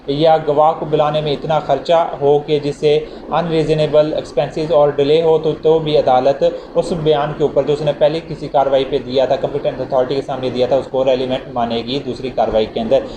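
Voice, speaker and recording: Man, law lecture, slightly high voice, noticeable background noise. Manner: reads English legal text slowly, then explains casually with examples. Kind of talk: explanatory legal lecture.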